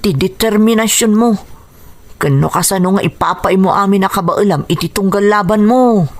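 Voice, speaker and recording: Woman acting an encouraging, expressive friend. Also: mid-range voice, normal speed, natural voice. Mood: reassuring, urging. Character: supportive, encouraging friend of a boxer, eager and reassuring. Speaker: radio drama actor. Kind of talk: radio drama dialogue in Ilocano.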